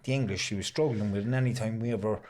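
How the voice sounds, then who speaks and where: A man's voice: fairly low pitch, fast talker, a little mellow. Chatty Irish man hosting a podcast. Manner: easygoing, chatty, with colloquial asides. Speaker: podcast host. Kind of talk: casual podcast chat.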